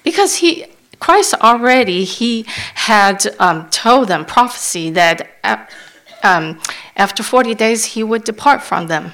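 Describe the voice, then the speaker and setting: Woman interpreter at mid pitch, halting delivery with restarts. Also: expressive, a bit bright. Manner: halting, restarts while searching for words. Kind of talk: live sermon interpretation.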